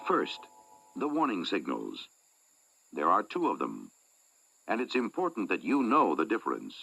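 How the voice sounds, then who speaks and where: A man announcer reading scripted civil defense instructions in a measured, instructive manner. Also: deep voice, huge pitch swings, creaky, sharp tone, breathy edge, dry recording, rough recording. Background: music.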